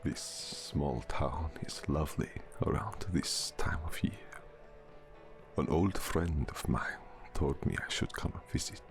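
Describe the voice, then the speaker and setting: Casting: male actor as ancient vampire count, extremely low and slow, suave, formal, intimate ASMR drama with music. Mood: courtly, inviting. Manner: formal, measured, suave, often breathy. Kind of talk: intimate ASMR audio roleplay. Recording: music behind the voice.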